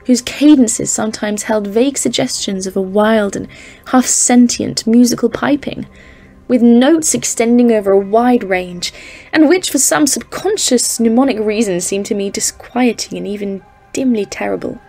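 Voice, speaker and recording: A woman's voice: on the high side, moderate pace, creaky, a little mellow. A woman playing a composed expedition leader, narrating a polar journal in a scripted audio drama. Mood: solemn, uneasy. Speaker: narrator and lead character.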